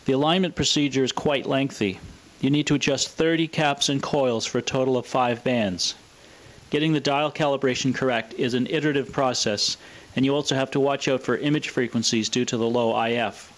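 A man presenting scripted technical narration in a measured, matter-of-fact manner. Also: medium pitch. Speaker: presenter of a vintage radio demonstration.

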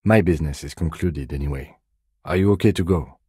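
A man playing a calm, dignified middle-aged police lieutenant in a video game, speaking in a measured, precise, deadpan way. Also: rumbling low, husky, dry recording, studio quality, exaggerated voice. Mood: quietly concerned. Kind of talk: video game character dialogue.

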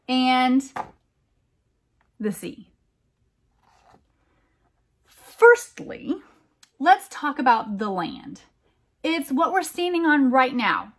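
A middle-aged American woman reads a storybook to small children in a fairly high voice. She takes it very slowly, stressing key words and slipping in conversational asides. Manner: animated, emphatic, deliberate phrasing for young children. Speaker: children's storytime reader, teacher. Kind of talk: expressive picture-book read-aloud with chatty asides.

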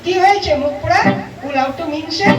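Very high sharp-tongued puppet housewife played by a woman. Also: quick pace. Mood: sharp retorts. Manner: nagging, retorting. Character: sharp-tongued, suspicious village housewife puppet, easily exasperated. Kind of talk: comic puppet-show acting. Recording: echoey, distant, faint music behind.

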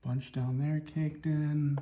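A man casually showing a chainsaw filter in his garage workshop, talking in a relaxed, rambling way with lots of fillers, recorded on a phone held close. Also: a little high, takes it slowly, slight background noise. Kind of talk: casual hands-on show-and-tell. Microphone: phone camera held close.

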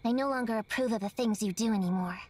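A woman's voice: fairly high pitch, quick pace, crowd noise, natural voice. A woman voicing a sly, proud young cat girl with a cool, teasing defiance in an anime game cutscene.

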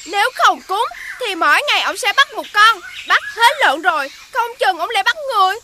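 A woman playing a crafty, cheeky peasant boy in an animated fairy tale, pert and argumentative. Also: very high-pitched, a little fast, background noise. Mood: sly, persuasive.

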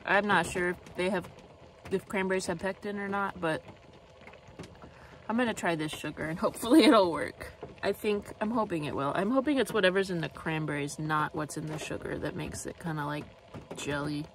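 Lowish-voiced woman in her 30s rambling thru a cooking vlog in her car, phone held out, loud car hum behind. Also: moderate pace. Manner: relaxed, rambling, thinks aloud with soft laughs. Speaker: vlogger. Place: inside a parked car. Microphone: phone camera at arm's length. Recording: noisy car interior.

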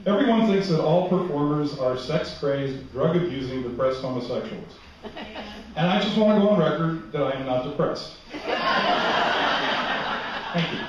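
An older man sings comic songs at the piano, his voice high, dropping into wry, self-mocking jokes for the crowd between verses. He was taped from the back of a church hall, so the sound rings with echo and arrives dull and far off. Loud piano fills the room behind him. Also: animated, normal speed, pauses often, background noise. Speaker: cabaret singer and pianist. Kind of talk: comic cabaret songs with stage patter. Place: church hall stage with a seated audience. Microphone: stand mic at the piano, filmed from the back of the room.

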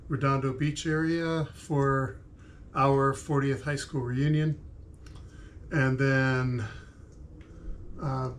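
A man in his late fifties vlogging casually about a trip, unhurried and dotted with uhs, in a large garage. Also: mid-range voice, a little hiss or hum. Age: late 50s. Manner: slow, unhurried, with many uhs. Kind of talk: casual vlog talk to camera. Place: large cluttered home garage. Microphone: camera across the garage.

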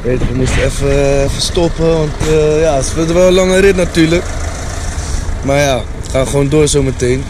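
A man's voice: a little high, steady tone, moderate pace, background noise. A young man vlogging casually from a car on a road trip, talking in a laid-back, slangy way into a handheld action camera. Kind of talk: casual vlog chat. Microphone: action camera held at arm's length.

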